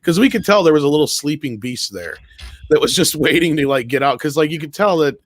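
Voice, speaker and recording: A man's voice: fairly high pitch, lively intonation, a little fast, no room sound. A man talking casually on a panel show, chatty and rambling, with a jokey streak. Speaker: online talk show panelist.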